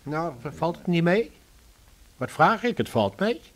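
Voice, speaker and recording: A man's voice: a little high, very animated, measured pace. An actor with a Dutch accent playing a wry, talkative man who reassures his friends in a radio drama. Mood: wry, reassuring.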